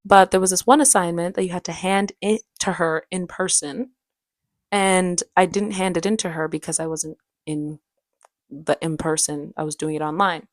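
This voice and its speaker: A woman's voice: fairly low pitch, normal speed, dry recording. Young woman chatting casually, dry close sound. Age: young adult. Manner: animated, slangy, self-dramatizing. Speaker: vlogger and aspiring actress. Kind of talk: casual chatty vlog storytelling.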